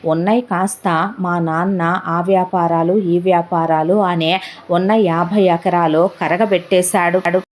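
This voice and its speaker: Woman doing a grieving uncle, lower and quiet, heavy and slow, dark soft tone. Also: steady tone, close to an everyday voice. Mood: sorrowful. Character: middle-aged uncle, sorrowful and confessional.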